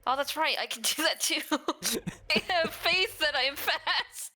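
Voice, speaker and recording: A woman's voice: sits high, lively intonation, measured pace, bright, forceful tone. A woman chatting casually as a player making a game character, playful and joking, often with short questions. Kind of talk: casual chat.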